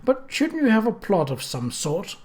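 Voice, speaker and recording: A man voicing a brisk, tart, self-possessed older aunt in an audiobook. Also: high voice, wildly swooping pitch, a little mellow, voice pushed up. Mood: amused. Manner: firm, tart. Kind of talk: audiobook character voice.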